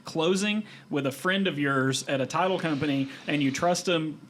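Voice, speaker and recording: A man's voice: on the high side, moderate pace, a bit bright, a little hiss or hum. A man telling stories in a casual podcast conversation, explaining details as a guest would.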